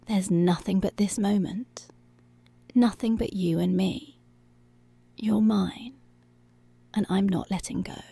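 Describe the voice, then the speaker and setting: A woman playing a dominant, seductive policewoman in an intimate ASMR roleplay, giving hushed, deliberate commands that are possessive yet tender, close to the microphone. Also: medium pitch, gravelly, slightly rough, dry recording, heavily acted. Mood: possessive, soothing. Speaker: ASMR voice actor. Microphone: close, dry microphone.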